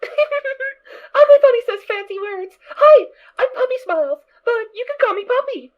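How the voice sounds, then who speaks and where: A woman's voice: very high-pitched, lively intonation, unhurried, narrow, tinny audio. A woman voicing a cheerful, naive little pony foal in an amateur audio drama, bubbly and blunt. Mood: giggly, curious.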